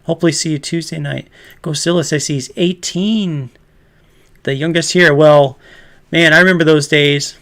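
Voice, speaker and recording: A man's voice: on the high side, fairly even intonation, normal speed, fairly bright tone. A man in his fifties hosting a casual livestream chat, rambling and laughing often. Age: 50s. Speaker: host.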